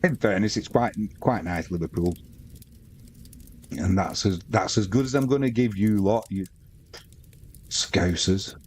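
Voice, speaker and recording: A man's voice: low voice, unhurried, pauses often, a little mellow, slight background noise. Chatty Manx man commentating live. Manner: rambling, chatty, reads out viewer comments. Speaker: live stream sports host. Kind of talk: casual live match commentary and chat.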